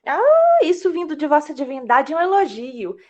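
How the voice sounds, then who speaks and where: A woman's voice: sits high, wildly swooping pitch, normal speed, lo-fi sound. A woman playing a rebellious, bratty teenage goddess with slangy, eye-rolling affectation in a mythological comedy audio drama. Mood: bored contempt.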